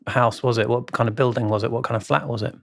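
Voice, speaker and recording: A man hosting a podcast, asking a designer about her childhood with gentle, probing questions. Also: mid-range voice, fairly even intonation, quick pace, vocal fry. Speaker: podcast host. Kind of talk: interviewing.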